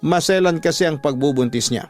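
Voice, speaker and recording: A man delivering dramatic first-person scripted narration as a radio drama storyteller, in a measured way. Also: on the high side, darkish tone. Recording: music bed under the voice. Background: music.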